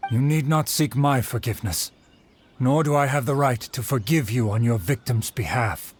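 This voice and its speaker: A man's voice: mid-range voice, moderate pace, husky, lightly acted. A man playing an earnest, formal young swordsmith in a video game, humble and resolute. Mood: solemn, humble.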